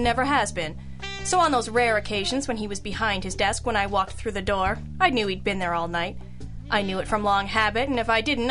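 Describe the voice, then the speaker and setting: An actress playing a sharp-witted, sardonic female detective in an old-time radio noir, dry, teasing and cool. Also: on the high side, lightly acted.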